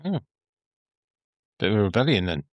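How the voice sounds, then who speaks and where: A man's voice: lowish voice, sing-song, moderate pace, dry recording. A man co-hosting a casual podcast, chipping in with short jokes and remarks. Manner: jokey, short interjections. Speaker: podcast co-host. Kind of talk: casual chat.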